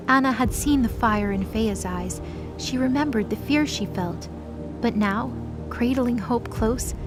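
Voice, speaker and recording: A woman's voice: mid-range voice, rough recording. A woman reading scripted story narration for an audio drama.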